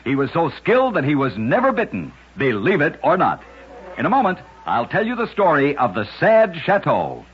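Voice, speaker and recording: A man hosting short radio tales of oddities, reading scripted narration in a measured old-time style with emphatic sign-offs. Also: a little high, wildly swooping pitch. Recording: dull, lo-fi old radio broadcast.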